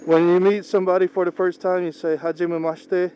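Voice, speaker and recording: A young man whose first language is Tagalog, talking casually in a slow, halting way while driving. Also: sits high, little pitch movement, warm tone, poor audio. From Philippines. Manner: slow, unhurried, halting with pauses. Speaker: vlogger. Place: inside a moving car. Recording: faint car engine hum. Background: car engine and road noise.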